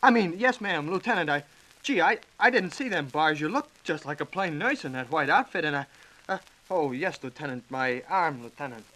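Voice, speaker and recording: A man's voice: fairly high pitch, animated, normal speed, pushed and bright, low-quality recording. A man playing a flustered, stammering army corporal in a 1940s radio sketch, on an old recording. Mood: flustered, deferential. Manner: stammering, deferential.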